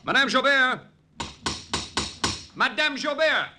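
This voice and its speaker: An actor playing an earnest young Allied secret agent in a 1940s radio drama, polite and dramatic. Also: male voice, very high-pitched, lively intonation, slow pace, heavily acted. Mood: urgent pleading. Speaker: narrator and lead actor. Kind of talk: 1940s radio drama, first-person narration and dialogue. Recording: 1940s radio recording with music.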